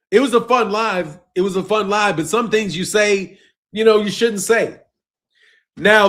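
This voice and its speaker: A fairly high-pitched man. He leans hard on "bro" and "man," and his voice sinks low and quiet as each phrase trails off. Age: 50s. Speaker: boxing channel host. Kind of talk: casual live-stream rant reading chat.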